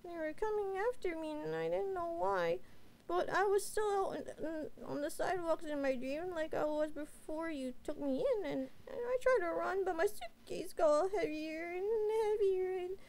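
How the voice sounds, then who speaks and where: A woman's voice: very high voice, normal speed, a little mellow. A woman playing a timid, clingy young catgirl who stammers and whimpers as she pleads with her master in a bedtime roleplay audio. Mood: shaky, timid. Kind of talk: roleplay audio drama, bedtime sleep aid. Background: rain and thunder ambience.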